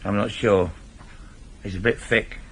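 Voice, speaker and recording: A man's voice: on the low side, steady tone, unhurried, vocal fry, fairly bright tone. An actor playing a controlling middle-aged English burglar who instructs his gang insistently, in a naturalistic radio drama. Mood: cool, measured. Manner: instructive, insistent, repeats himself for emphasis. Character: wily, controlling veteran burglar, father running a small gang. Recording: noisy 1980s radio drama broadcast recording.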